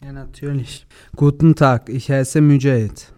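An eighteen-year-old man from Vienna, a guest on school radio, giving short clear answers. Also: medium pitch, fairly even intonation, measured pace, darkish tone, no echo, clean studio sound. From Vienna, Austria. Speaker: interview guest.

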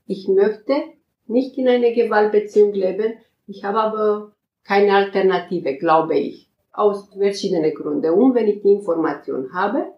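A woman counselor answering interview questions in a halting way, often searching for words and restarting sentences. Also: medium pitch, echoey room, lo-fi sound. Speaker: counselor at a migrant women's advice center.

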